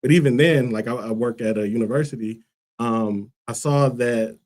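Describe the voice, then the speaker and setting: A man's voice: medium pitch, normal speed, a little mellow. A man from Liberia, a guest on a talk show, who answers earnestly and thoughtfully with many fillers. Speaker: guest, school co-founder. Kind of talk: casual interview answers.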